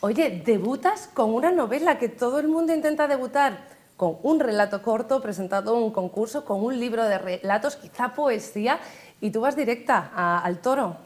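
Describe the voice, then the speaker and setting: A woman hosting an interview in a TV studio, leading with lively, chatty questions. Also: fairly high pitch, moderate pace. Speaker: TV host.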